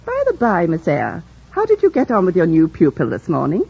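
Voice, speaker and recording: A woman's voice: fairly low pitch, wildly swooping pitch, brisk, vocal fry, over loud music, full character voice. An actress playing a kindly, fussing older housekeeper in a vintage radio drama, motherly, genteel and chatty. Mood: matter-of-fact. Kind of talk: 1940s-50s radio drama acting. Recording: dull vintage radio recording.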